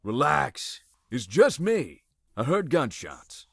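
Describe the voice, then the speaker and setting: An actor playing a calm, protective big man with a reassuring, no-nonsense way of talking in a visual novel. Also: mid-range voice, slow pace, creaky, breathy edge, dry recording, clean studio sound, exaggerated voice. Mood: calm, concerned.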